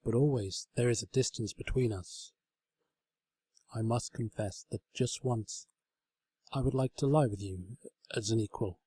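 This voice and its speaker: A man's voice: lowish voice, measured pace, long pauses, warm tone, breathy edge, dry recording. Man voicing a shy, lovestruck talking bedside table, dry sound. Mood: shy longing. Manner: earnest, courtly, wistful, formal. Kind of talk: comedic storybook reading.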